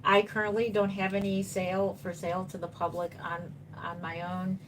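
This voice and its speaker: A woman's voice: fairly low pitch, monotone, normal speed, a little hiss or hum, low-quality recording. A woman in her fifties, a doll artist chatting casually on a live stream, reading viewer comments and answering them reassuringly while she paints. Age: 50s.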